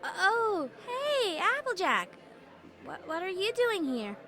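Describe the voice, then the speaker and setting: Anxious, high-voiced young unicorn, played by a woman. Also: big pitch swings, sharp tone, exaggerated voice. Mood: flustered. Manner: hesitant, halting. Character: anxious, guilt-ridden studious young unicorn mare. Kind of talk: dramatic reading of fan fiction. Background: music.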